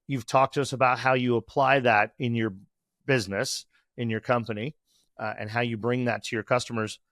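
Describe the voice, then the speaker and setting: A man's voice: mid-range voice, level tone, a little fast, a bit bright, dry recording, studio quality. A man hosting a podcast interview, asking questions in a conversational way with some fillers. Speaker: podcast host.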